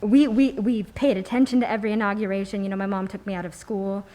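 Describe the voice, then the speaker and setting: A young American woman giving her reflections in a discussion, articulate and measured with fairly formal wording. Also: medium pitch, steady tone, mellow. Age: young adult.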